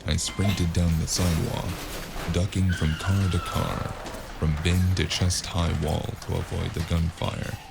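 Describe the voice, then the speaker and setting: A low, creaky male voice tells a cyberpunk noir story in the first person. He plays a weary, wry gunman, delivering scripted narration with music underneath. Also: little pitch movement, measured pace. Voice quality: gravelly. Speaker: narrator and lead character of an audio drama.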